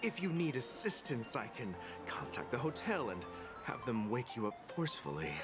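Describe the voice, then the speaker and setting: An actor playing a friendly, dutiful security officer in a video game, courteous, formal and earnest. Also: male voice, mid-range voice, wildly swooping pitch, normal speed. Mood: courteous concern. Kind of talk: scripted video game voice acting. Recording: background music behind the voice.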